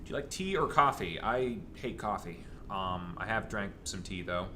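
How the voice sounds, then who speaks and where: A young man chatting casually as co-host of a gaming channel, answering audience questions in a rambling, halting way. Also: lowish voice, animated, pushed and bright, slight background noise.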